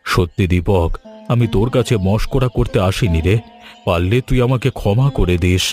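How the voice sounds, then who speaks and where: A man's voice: lowish voice, moderate pace, a little mellow, soft background music, close to an everyday voice. A man voicing a village moneylender boss in a cartoon, talking bluntly and familiarly as if to an inferior. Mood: contrite. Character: haughty village moneylender boss, later humbled. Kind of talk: Bengali cartoon voice acting.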